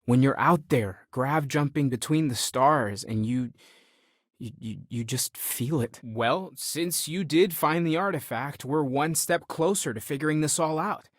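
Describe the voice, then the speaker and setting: Earnest young space explorer, close dry sound. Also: male voice, a little high, a little slow, no echo. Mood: passionate, awed. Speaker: video game voice actor. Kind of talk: game dialogue voice lines.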